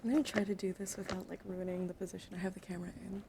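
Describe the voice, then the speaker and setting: Casting: female ASMR streamer, hushed and half-whispered chat, creaky fry, close mic, faint noise behind. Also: medium pitch, steady tone, racing. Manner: hushed, often slipping into whisper. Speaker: livestreamer.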